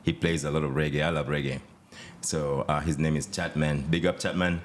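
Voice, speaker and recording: A man in his thirties from Uganda with a Ugandan accent, a podcast guest talking in a relaxed, hesitant way with many fillers. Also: rumbling low, brisk, slight background noise. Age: 30s. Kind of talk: casual interview chat.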